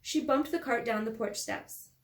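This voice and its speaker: High-voiced woman narrating in echoey room. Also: moderate pace. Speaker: author reading own novel aloud. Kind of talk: scripted storybook narration.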